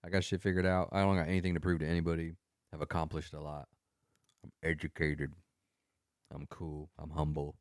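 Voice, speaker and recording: A man's voice: extremely low pitch, level tone, brisk, pauses often, no room sound, studio quality. A man in his thirties, co-hosting a casual podcast chat in a laid-back way, with lots of fillers and swearing. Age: 30s. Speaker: podcast co-host.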